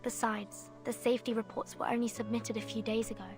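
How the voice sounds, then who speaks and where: A calm, measured game character explains plans in a slightly high, even voice, voiced by a woman. Game music sits softly in the background. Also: natural voice. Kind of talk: game voice acting.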